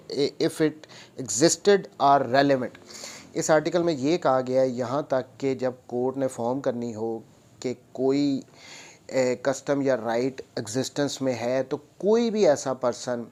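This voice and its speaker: A man's voice: mid-range voice, darkish tone. A man with a Pakistani accent lecturing on law, switching between English and Urdu as he reads out and explains, repeating phrases. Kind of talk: lecture, reading statutes aloud and explaining them.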